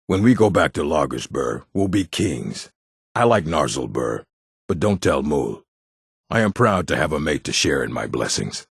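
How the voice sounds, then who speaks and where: Very deep, gravelly orc man. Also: no room sound, clean studio sound, full character voice. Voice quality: gravelly, husky. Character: gruff adult male orc of a fantasy stronghold. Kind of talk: fantasy video game character dialogue.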